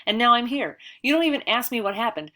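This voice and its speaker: A woman voicing an angry, bullied seventh-grade boy in an audiobook, protesting loudly in rising outbursts. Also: lowish voice, normal speed. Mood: furious. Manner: loud, pleading, rising outbursts. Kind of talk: audiobook character voice.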